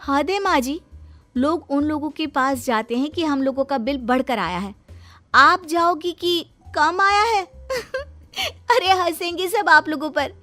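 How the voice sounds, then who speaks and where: A brash young daughter-in-law in a cartoon moral tale, acted by a woman. The voice is very high and exclamatory, pushing back with indignant confidence. Soft music plays underneath. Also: animated, takes it slowly, dry recording, heavily acted. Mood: cheeky. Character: brash, outspoken young Indian daughter-in-law, quick to complain. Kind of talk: animated Hindi moral story acting.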